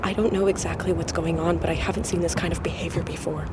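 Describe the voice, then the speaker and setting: Clearly noisy background, female field scout, watchful, hushed, halting, observational, audio drama. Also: on the low side, fairly even intonation. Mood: tense, awed. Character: watchful woman field observer reporting calmly on giants.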